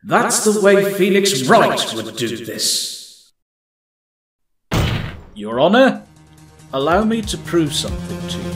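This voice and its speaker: A man voicing a proud, formal lawyer in a video game fan dub, with a dramatic, measured delivery. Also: sits high, heavily acted. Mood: determined. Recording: strong echo, music behind.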